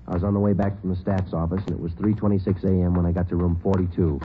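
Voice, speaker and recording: An actor playing a terse, steady police detective sergeant who narrates the case in a clipped, matter-of-fact 1950s radio drama style. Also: male voice, sits low, fast, soft background music, natural voice. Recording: tinny old radio broadcast with hiss.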